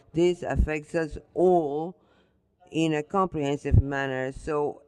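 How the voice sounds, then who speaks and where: A man answering a question as a conference panelist, halting and full of "uh" fillers. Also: fairly high pitch, clean tone, no echo. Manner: slow and halting, with many uh fillers.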